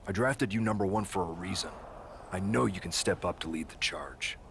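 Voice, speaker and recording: An actor playing an earnest, decisive wrestling show boss in a video game, measured and businesslike. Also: male voice, low voice, vocal fry, fairly bright tone, a little hiss or hum. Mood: resolute, rallying. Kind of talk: scripted video game cut-scene dialogue.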